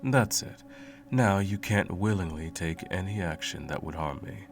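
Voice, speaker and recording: A sadistic sorcerer, played by a man, murmurs in a very low, creaky voice. He draws his words out slowly, doting on his captive pet with theatrical, condescending sweetness. It is an ASMR roleplay with clear music underneath. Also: lively intonation. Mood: smug, teasing. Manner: teasing, cooing, condescending, theatrical.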